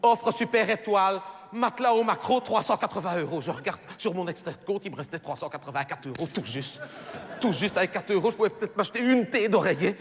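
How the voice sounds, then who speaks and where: A man's voice: high voice, animated, quick pace, long reverb tail. A man voicing a chatty middle-aged housewife with a Belgian French accent, rambling and stammering through self-corrections in a stand-up monologue. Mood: gossipy. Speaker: comedian.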